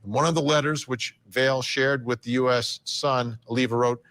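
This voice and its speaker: A man's voice: mid-range voice, steady tone, normal speed, fairly bright tone. Man interviewer reading from a report. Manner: reads report passages, then asks questions. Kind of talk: television interview.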